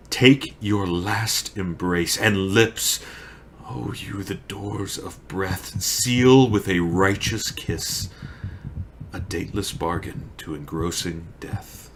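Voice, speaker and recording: A man playing a grieving, lovesick young tragic hero in a theatrical, solemn Shakespearean monologue with drawn-out phrasing. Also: deep voice, a bit bright. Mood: despairing farewell.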